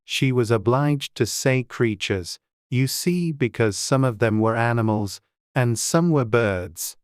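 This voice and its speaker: A man's voice: medium pitch, slow pace, clean tone, no room sound, studio quality. A man reading scripted storybook narration as an audiobook narrator.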